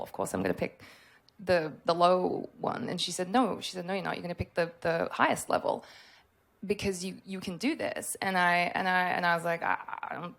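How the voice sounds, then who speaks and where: A woman from Australia whose first language was Polish, speaking on a convention panel in a thoughtful, halting way with many fillers. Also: fairly low pitch, vocal fry, a bit bright. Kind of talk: casual panel talk.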